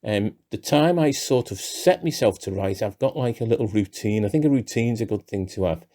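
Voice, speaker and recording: A man's voice: lowish voice, lively intonation, moderate pace, dark tone. Chatty sixties man with Liverpool accent. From Liverpool, England. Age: 60s. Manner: chatty and self-deprecating, dry humour. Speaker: playwright. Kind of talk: relaxed talking-head interview.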